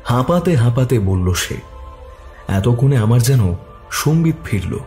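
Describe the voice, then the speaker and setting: A man narrating a detective story in the first person with expressive delivery. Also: medium pitch, a little slow, soft background music.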